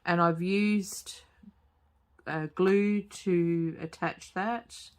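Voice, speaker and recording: A woman with a slightly croaky voice, hosting a casual craft tutorial at home and talking hesitantly with many fillers. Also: lowish voice, steady tone, extremely slow. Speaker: crafting channel host. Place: home craft table, late at night.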